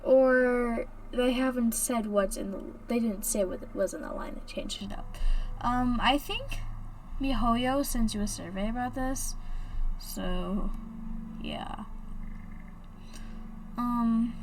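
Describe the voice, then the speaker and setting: A woman's voice: fairly high pitch, slow pace, long pauses, a little hiss or hum, rough recording. A woman giving casual, hesitant commentary as she plays through a game and reads some dialogue aloud. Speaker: gaming video creator.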